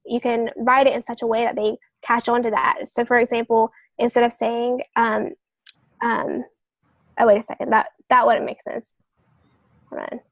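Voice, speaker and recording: A woman with a fairly high voice speaks as a guest in a podcast discussion. She explains step by step with examples, sometimes hesitating and correcting herself. The sound is close and dry, with very little room in it. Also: little pitch movement, normal speed, gravelly, no echo. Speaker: podcast guest novelist giving writing advice.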